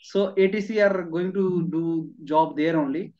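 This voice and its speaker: A man with an Indian accent and a high-pitched voice conducts a conversational interview. He rephrases his questions as he goes and slips Hindi words into his English. The sound is dull and muffled, like a video call. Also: unhurried, dark tone. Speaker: interviewer, educator. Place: classroom in front of a chalkboard.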